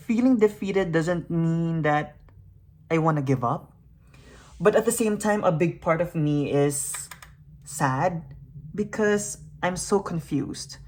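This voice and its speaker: A young adult woman, a native Tagalog speaker, talking in an earnest, searching way in a reflective personal vlog. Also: on the low side. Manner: soft, earnest and searching, with fillers and self-questions. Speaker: makeup YouTuber.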